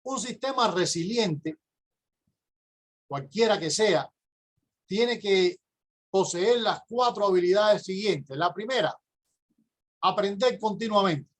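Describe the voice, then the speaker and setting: A middle-aged man from Cuba with a Cuban accent, giving a prepared conference lecture as a university professor in a measured, explanatory way with occasional hesitations. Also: sits high, lively intonation.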